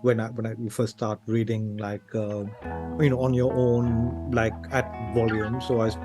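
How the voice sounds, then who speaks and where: A man from Sri Lanka talks as a guest author in a casual interview. He sits at a middle pitch and feels his way through his thoughts, often restarting a phrase. Faint music plays along with his voice. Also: flat delivery, moderate pace.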